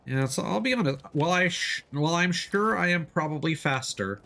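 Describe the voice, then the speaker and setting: A man doing casual live gaming commentary and chatting with viewers, excitable and quick to exclaim when surprised. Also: on the high side, gravelly. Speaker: video game streamer.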